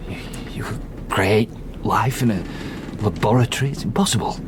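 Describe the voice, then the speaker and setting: A male actor plays an awestruck, ambitious young man in a gothic audio drama. He speaks in a low voice with earnest, insistent curiosity. There is clear noise behind him. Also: normal speed, close to an everyday voice. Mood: awed, probing.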